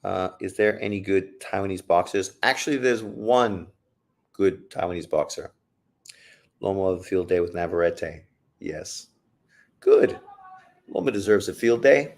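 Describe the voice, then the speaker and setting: A deep-voiced man gives loose live commentary on a bout, cursing freely and cracking jokes between punches. His low voice stays casual and blunt as he shouts encouragement at the fighters and reads out viewer questions. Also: measured pace, gravelly, long pauses. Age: adult, old enough to say he got old. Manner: profane, blunt and joking. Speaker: livestream host reacting to a boxing fight.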